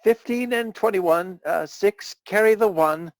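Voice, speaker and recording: A man's voice: high voice, unhurried, slight background noise. A man playing a meek, apologetic clerk in a radio play, hesitant and deferential in his speech. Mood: absorbed.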